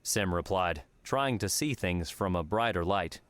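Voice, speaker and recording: A man's voice: sits low, animated, measured pace, smooth, no echo. A man reading scripted story narration as an audiobook narrator.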